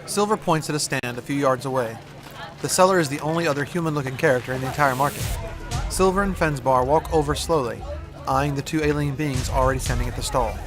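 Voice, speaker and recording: A man's voice: mid-range voice, quick pace. A man giving scripted, present-tense narration for an audio drama. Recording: music behind.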